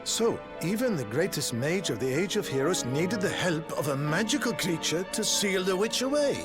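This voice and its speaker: A learned scholar of magic from a fantasy video game. His voice sits a little high and swings widely as he speaks in formal, bookish, exclamatory phrases. Loud music plays underneath. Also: very animated, moderate pace. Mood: studious, declaiming. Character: learned, excitable male scholar of ancient magic. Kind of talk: theatrical video game voice acting.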